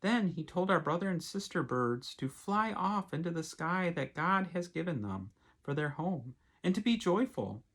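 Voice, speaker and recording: A male pastor speaks as if to children at storytime. His pitch rises and falls in an animated way, and his voice is somewhat husky. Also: moderate pace, rough recording. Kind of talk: expressive storybook read-aloud with casual asides.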